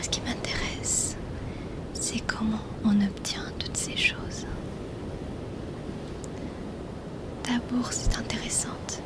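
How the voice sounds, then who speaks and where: A woman's voice: on the high side, lightly acted. A woman voicing a mysterious, shrewd mermaid healer in a whispered, intimate ASMR roleplay. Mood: curious, coaxing. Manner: hushed, slow, intimate, teasingly questioning.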